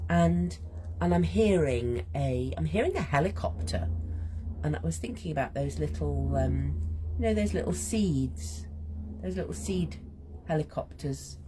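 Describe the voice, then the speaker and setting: A woman hosts a gentle, reflective art session in a low, husky voice that sounds a little strained. She speaks slowly and muses aloud with pauses, her pitch moving freely up and down. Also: lively intonation, background noise. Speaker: host of a creative healing art session. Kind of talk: gentle guided reflection while drawing.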